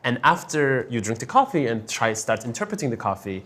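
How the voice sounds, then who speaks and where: A woman's voice: rumbling low, big pitch swings, fairly quick, a bit bright. A young woman, raised in Turkey, patiently explaining and demonstrating a coffee fortune-telling ritual in conversation. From Azerbaijan, raised in Turkey. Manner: instructive, patient, laughs lightly.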